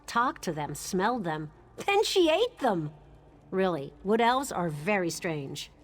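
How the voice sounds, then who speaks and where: A woman's voice: mid-range voice, very animated, unhurried, soft background music. An actress playing a chatty, bemused townswoman with idle curiosity in a fantasy game. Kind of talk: game dialogue acting.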